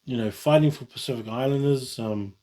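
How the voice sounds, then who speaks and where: A man's voice: medium pitch, a little fast, dark tone. Rambling man. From New Zealand. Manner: rambling, frequent restarts and self-corrections. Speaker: vlogger. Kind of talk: casual solo talk.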